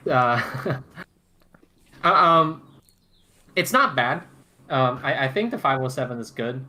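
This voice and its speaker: A man in casual podcast banter, halting and repeating words as he teasingly challenges the others. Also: medium pitch, animated, pushed and bright. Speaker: podcast panelist.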